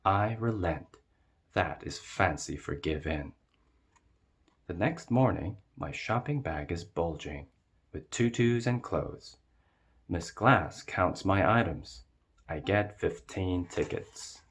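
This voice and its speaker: A man with a low, husky voice reads a children's storybook aloud. He takes his time, and his pitch moves expressively as he narrates. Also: animated, slow.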